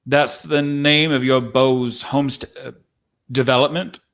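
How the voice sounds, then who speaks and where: A man's voice: mid-range voice, fairly even intonation, measured pace, a bit bright. Proud, folksy old-time cowboy played by a man. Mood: folksy, curious. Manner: rustic drawl phrasing, boastful, teasing. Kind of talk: dramatic script reading.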